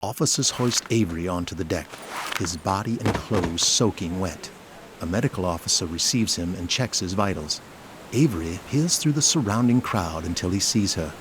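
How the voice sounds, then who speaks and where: A man's voice: deep voice, animated, moderate pace, background noise. A man reading scripted narration for an audio drama.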